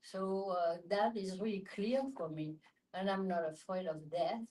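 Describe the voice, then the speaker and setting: A woman's voice: lowish voice, fairly even intonation, measured pace, a little mellow, low-quality recording. Frank woman with a French accent. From France. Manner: frank, matter-of-fact. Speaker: discussion group participant. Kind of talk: group discussion.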